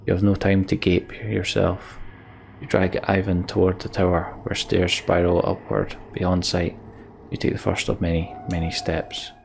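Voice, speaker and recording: A man's voice: low-pitched, completely flat delivery, moderate pace, creaky, a little hiss or hum. A man doing a let's play, reading game text aloud and adding informal, enthusiastic asides. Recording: game music behind the voice. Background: game music.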